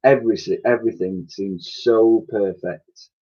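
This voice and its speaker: A man's voice: lowish voice, steady tone, unhurried. A man reading a children's story aloud with expression, on a dull home recording. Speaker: story reader.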